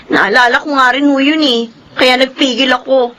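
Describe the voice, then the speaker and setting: Very high, bright, indignant Filipino woman. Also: steady tone, normal speed, poor audio. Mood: annoyed. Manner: indignant, complaining. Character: annoyed, respectful young married woman. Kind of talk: Filipino television drama acting.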